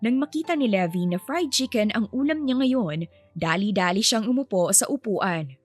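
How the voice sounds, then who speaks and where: A woman's voice: medium pitch, lively intonation, moderate pace. A woman storyteller reading a scripted romance story as narration. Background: faint music.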